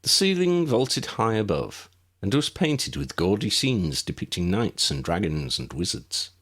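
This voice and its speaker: A man's voice: fairly low pitch, big pitch swings, unhurried, a bit bright, breathy edge. A man narrating an audiobook story in a scripted storytelling style.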